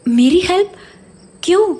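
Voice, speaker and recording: A woman's voice: very high voice, expressive, measured pace, darkish tone. A young woman playing an uneasy, questioning young homeowner in an audio horror drama. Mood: puzzled, hesitant.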